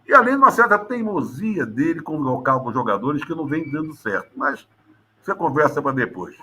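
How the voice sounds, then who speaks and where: A Brazilian man giving an exasperated, emphatic opinion in a studio sports debate, with loud bursts and low mutters. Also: big pitch swings, fairly quick, slight background noise. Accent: Brazilian Portuguese. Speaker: sports pundit.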